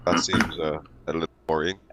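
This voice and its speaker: A man playing a tabletop roleplaying game, talking casually and a little haltingly. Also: rumbling low, little pitch movement, a bit bright. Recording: voice chat with faint noise.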